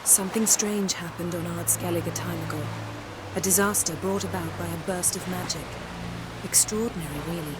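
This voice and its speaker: An actress playing a proud, sardonic sorceress in a video game cutscene, with a cool, dry delivery. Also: female voice, lowish voice, steady tone, a little fast, loud music behind the voice, natural voice, low-quality recording. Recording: loud score underneath.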